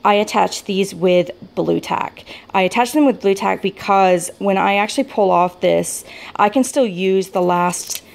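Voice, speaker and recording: An American woman casually talking through a show-and-tell, rambling a little hesitantly, with gentle enthusiasm for small details. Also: medium pitch, a little slow, gravelly. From United States. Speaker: vlogger showing a planner setup. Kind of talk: casual product walk-through.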